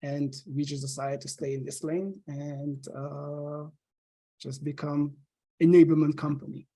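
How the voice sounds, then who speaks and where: Slovenian-accented male guest, 30s, eager, a little high-pitched, lots of ums. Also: little pitch movement, a little slow, dark tone. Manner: eager, with frequent ums and uhs. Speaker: podcast guest. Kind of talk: casual interview chat.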